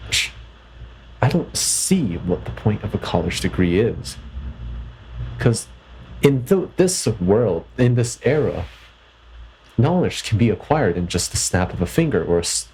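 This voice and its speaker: A man's voice: lowish voice, sing-song, unhurried, long pauses, darkish tone, a little hiss or hum, rough recording. A young man from Canada in a personal vlog, reflecting thoughtfully and hesitantly, often restarting his sentences. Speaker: vlogger.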